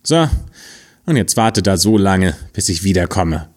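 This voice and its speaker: A man's voice: deep voice, animated, a little slow. A man voicing a cunning, bossy male fiddler in a fairy tale, giving orders in a mocking tone. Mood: commanding. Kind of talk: storybook voice acting.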